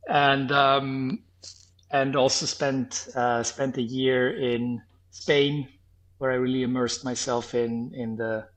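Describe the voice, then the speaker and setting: A man in his thirties from Switzerland, speaking with a Swiss accent that carries a faint trace of New Zealand, answering interview questions thoughtfully, with many restarts and pauses. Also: medium pitch, little pitch movement, poor audio. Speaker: podcast guest, former health tech startup founder.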